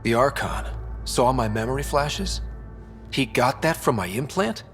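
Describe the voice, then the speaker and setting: A man's voice: medium pitch, animated, unhurried, over music. A man playing an earnest young space explorer in a video game, speaking in a plain, direct, easygoing way. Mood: resolute.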